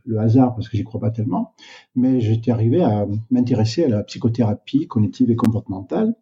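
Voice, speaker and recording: An older man talks slowly, stopping often to search for his words. His voice is very dark and mellow. The recording is dull and lo-fi. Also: mid-range voice. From Canada. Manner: slow, reflective, many hesitations. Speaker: guest, doctor. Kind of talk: interview answers. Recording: dull, lo-fi sound.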